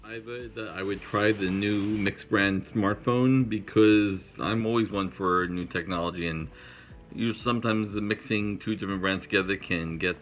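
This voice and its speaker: A man's voice: fairly low pitch, steady tone, normal speed, fairly bright tone. A man casually explaining his opinion in an interview.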